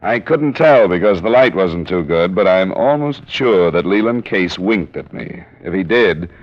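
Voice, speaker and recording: A man's voice: low voice, lightly acted. A man playing a hard-boiled male insurance investigator, narrating in a dry, clipped first-person way in a 1950s radio drama. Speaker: narrator and lead character. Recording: dull old radio broadcast.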